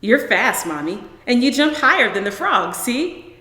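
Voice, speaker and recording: Casting: woman to play plucky, earnest small boy for picture-book story; lively intonation, roomy echo. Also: fairly high pitch, measured pace, pitched above the performer's own voice, heavily acted. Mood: excited. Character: brave, eager little boy, proud frog catcher. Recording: roomy echo with long reverb.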